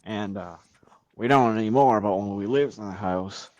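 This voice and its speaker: A young man on a podcast, improvising deadpan comic anecdotes in a casual, understated, halting way. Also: lowish voice, no room sound, lo-fi sound. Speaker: podcast member. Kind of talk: improvised deadpan comedy chat.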